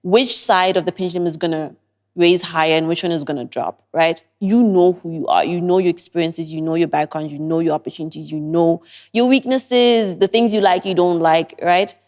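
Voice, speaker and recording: A woman's voice: fairly low pitch, fairly quick, a little mellow. A young woman with an American-sounding accent, giving earnest, reflective advice as a guest on a podcast interview.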